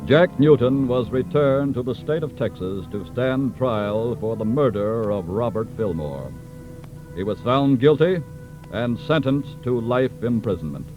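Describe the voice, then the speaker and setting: A man giving a solemn, measured, scripted narration for a 1940s radio crime drama. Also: mid-range voice, lively intonation. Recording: dull old radio broadcast with music.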